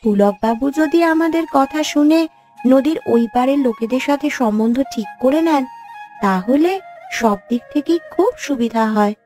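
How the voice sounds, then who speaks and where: A woman voices an earnest young village boy in a cartoon fairy tale. The voice is on the high side, thoughtful and explanatory, with music playing underneath. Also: lively intonation, moderate pace, mellow. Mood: thoughtful, anxious.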